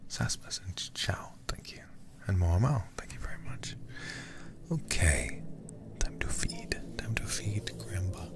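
A man streaming a game in ASMR style, murmuring in a hushed, breathy, gravelly whisper close to the microphone. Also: deep voice, animated, a little slow. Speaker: livestream host, gamer.

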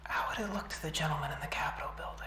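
A man playing an uneasy, hesitant married man in a horror short film, speaking in a hushed, breathy voice. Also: a little high, racing, in a whisper.

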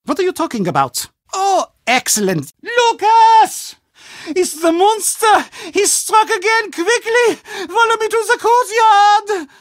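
A bossy, dismissive woman in a video game. Her voice is extremely high, with curt, emphatic delivery. The recording is close and dry. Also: very animated, a little slow, no echo, heavily acted. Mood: alarmed.

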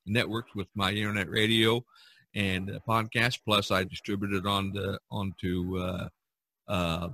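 A man hosting a casual podcast over a video call, talking in a rambling, animated way. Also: fairly low pitch, slight background noise. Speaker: podcast host. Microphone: video call microphone.

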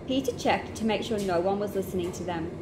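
A woman's voice: medium pitch, a little fast. A young adult woman reading a children's novel aloud in a small room at home. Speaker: storybook reader. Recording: home camera recording with clear background noise.

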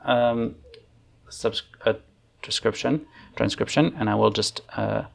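A man in his thirties with a German accent, chatting casually through a screen-share software tutorial from a room at home into a desk microphone, thinking aloud with fillers. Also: lowish voice, slow pace, vocal fry. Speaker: online facilitation trainer. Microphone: desk microphone on arm.

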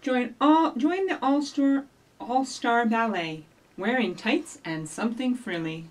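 A middle-aged woman hosting a children's storytime, giving simple instructions and reading rhymes to young children in an animated way, recorded by a camera a few feet away. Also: fairly high pitch, a little slow. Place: small indoor office or library room with block walls. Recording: distant camera microphone.

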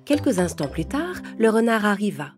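A woman narrating a children's fable in a calm, clear storytelling manner. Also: mid-range voice, moderate pace. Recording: music playing under narration.

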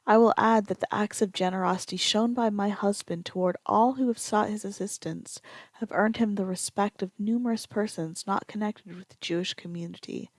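A woman reading a formal historical letter aloud in a measured, earnest way. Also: mid-range voice, steady tone, no echo.

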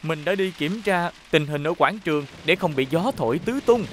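A man voicing a rescue car who leads a team in a children's cartoon, calm and commanding. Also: on the high side, normal speed, soft background music, natural voice. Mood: concerned. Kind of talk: animated children's cartoon dub.

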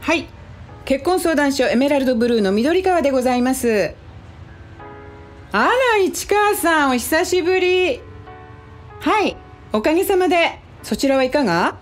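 Musing lady boss, lively pitch. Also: moderate pace, long pauses, soft background music. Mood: gracious. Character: composed, curious woman boss of a matchmaking agency. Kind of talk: radio drama acting.

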